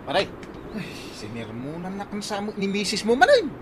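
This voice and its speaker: A man's voice: sits high, wildly swooping pitch, normal speed, noisy background. A man playing a teasing, boastful coworker friend in an Ilocano comedy radio drama, full of exclamations.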